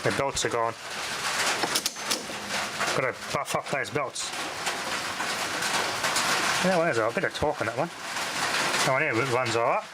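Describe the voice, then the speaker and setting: A man's voice: medium pitch, measured pace, long pauses, heavy background noise. A man giving a casual hands-on demo, muttering to himself as he works, on a handheld camera recording. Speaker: vintage audio gear hobbyist demonstrating a tape recorder. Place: indoors, close over a tape deck. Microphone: handheld camera's built-in microphone.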